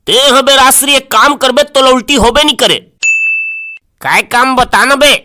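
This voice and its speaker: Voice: high voice, normal speed, sharp tone, a little hiss or hum. A performer with a Chhattisgarhi accent voicing a teasing, exclamatory young village wedding guest in a comic cartoon.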